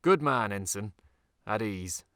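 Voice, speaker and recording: A man's voice: on the low side, lively intonation, slow, fairly bright tone, smooth, dry recording, studio quality. A man voicing a vain, pompous young military officer addressing his troops in an audiobook. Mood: proud, approving. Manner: pompous, commanding, oratorical. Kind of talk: audiobook character dialogue.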